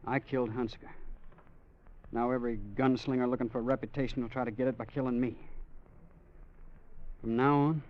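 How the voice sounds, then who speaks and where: An actor playing a young, reluctant gunfighter, grave and resigned, with an American accent in an old-time radio Western. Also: male voice, mid-range voice, little pitch movement, brisk, pauses often, natural voice. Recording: dull vintage radio recording.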